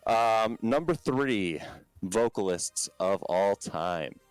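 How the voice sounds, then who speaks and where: A man with a low talking voice, a band's vocalist as a podcast guest, chatting casually and rambling with many fillers and restarts. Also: expressive, slow, clear, resonant tone, no room sound, faint music behind, poor audio.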